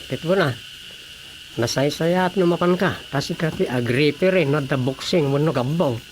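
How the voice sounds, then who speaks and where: A man's voice: on the high side, moderate pace, slight background noise, natural voice, poor audio. A man playing an easygoing, daydreaming husband in a Filipino radio drama comedy, muttering with a Filipino accent. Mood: casual, unbothered.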